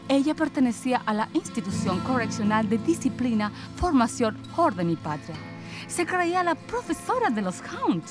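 Exiled lover back home, radio drama, high voice that swings a lot, earnest storytelling, music under it. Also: female voice. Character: older exiled woman returning home to her lost love. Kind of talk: radio theatre acting.

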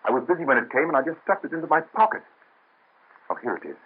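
An actor playing an earnest, anguished young physician in an old radio drama. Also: male voice, fairly high pitch, sing-song, fast talker, breathy edge. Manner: earnest, melodramatic. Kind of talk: 1930s radio drama acting. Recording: tinny old radio recording.

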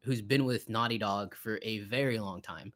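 A man's voice: lowish voice, moderate pace, a bit bright. A man co-hosting a podcast, chatting casually and with strong opinions about films. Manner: opinionated, conversational. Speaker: podcast co-host. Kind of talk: casual film review chat.

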